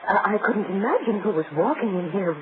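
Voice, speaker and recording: A woman's voice: medium pitch, big pitch swings, a little fast, close to an everyday voice. An actress playing a nervous, hesitant wife frightened of her husband in an old-time radio melodrama. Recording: noisy, tinny old radio recording.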